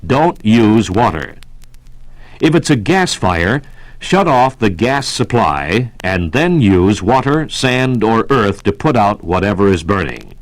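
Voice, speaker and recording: An American man reading a scripted 1960s civil defense radio announcement in a measured, formal, instructional manner. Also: fairly low pitch, big pitch swings, no room sound. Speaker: public information announcer.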